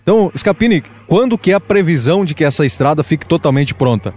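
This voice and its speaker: A man's voice: fairly high pitch, animated, darkish tone. A young man with a Brazilian Portuguese accent reporting outdoors on a dirt road with a handheld mic. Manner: brisk reporter's delivery with filler restarts. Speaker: local radio and web TV reporter. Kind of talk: field news report. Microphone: handheld microphone, masked.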